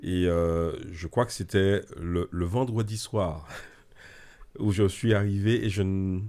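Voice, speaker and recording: A man in his thirties from French Guiana, a theology student guest who speaks haltingly with many repetitions. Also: deep voice, fairly even intonation, mellow.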